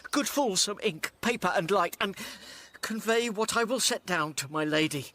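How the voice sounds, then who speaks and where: An actor playing a wronged gentleman locked in darkness, stammering and pleading his sanity in a classical radio drama. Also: sits high, lively intonation, moderate pace. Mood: urgent pleading. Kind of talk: classical radio drama acting in Shakespearean English.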